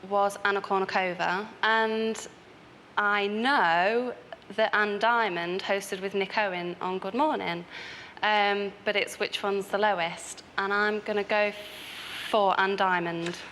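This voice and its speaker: A woman's voice: medium pitch, fairly even intonation, slow pace, fairly bright tone. Hesitant woman, echoey studio. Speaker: quiz show contestant. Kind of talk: thinking aloud. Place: large television studio. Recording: echoey studio, faint noise.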